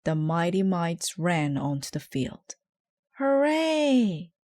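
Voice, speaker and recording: A woman narrates a children's storybook in a fairly low voice. She reads very slowly, and the sound is dry and close. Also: fairly even intonation, darkish tone, no echo. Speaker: storybook reader.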